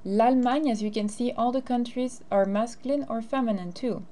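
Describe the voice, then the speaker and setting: A woman's voice: fairly high pitch, moderate pace, mellow. A teacher, a woman, explaining grammar and carefully modeling phrases in a recorded lesson. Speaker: French teacher.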